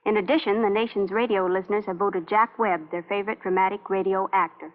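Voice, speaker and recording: A woman's voice: medium pitch, fairly even intonation, quick pace. A woman giving a scripted award announcement as a magazine representative, in a formal read-out manner. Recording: narrow-band old radio recording.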